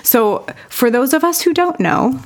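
A woman co-hosting a podcast, asking her guest a question in a halting, casual way. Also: high voice, animated. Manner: hesitant and halting, with fillers and restarts while framing a question. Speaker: podcast co-host.

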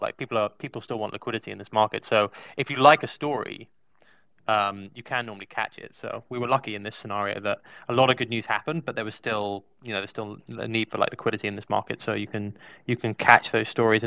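Male panelist, crisp and quick, explaining things casually over slightly dull, dry audio. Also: on the low side, pushed and bright, no room sound. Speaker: panelist on a crypto talk show. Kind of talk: casual analytical commentary.

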